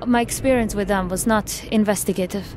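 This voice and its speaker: An actress playing a formal, analytical federal agent in an audio drama, speaking precisely. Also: female voice, medium pitch, fairly even intonation, fairly quick, background noise, natural voice. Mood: measured, analytical. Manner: precise, formal, without contractions.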